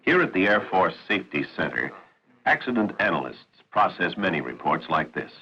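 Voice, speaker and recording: A man with a very deep, rough-edged voice narrates a 1960s military training film in a formal, instructive way. His intonation moves widely, and the old soundtrack sounds muffled. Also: very animated, pushed and bright. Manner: authoritative, measured, instructive.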